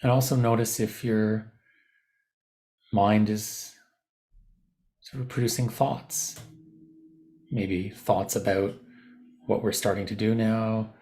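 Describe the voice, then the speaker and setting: A man leading a guided meditation, giving gentle, unscripted instructions with softened, informal phrasing. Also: lowish voice, flat delivery, slow, long pauses.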